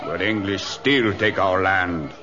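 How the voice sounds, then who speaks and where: A proud, scheming chief in an old radio adventure. The voice is low, forceful and slow, declaiming in broken English through a dull, lo-fi recording. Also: male voice, a faint crowd, full character voice. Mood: fierce, commanding.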